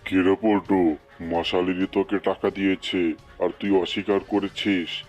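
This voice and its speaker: A man's voice: low-pitched, level tone, unhurried, darkish tone, dull audio, faint music behind. A man playing a stern, indignant animal chief of a cartoon forest, scolding with authority. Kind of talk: cartoon dialogue.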